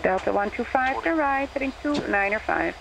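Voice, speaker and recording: Air traffic controller over cockpit radio. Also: male voice, very high-pitched, animated, moderate pace, creaky. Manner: clipped, formulaic phraseology. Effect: radio transmission. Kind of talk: radio instructions to aircraft. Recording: noisy cockpit radio feed.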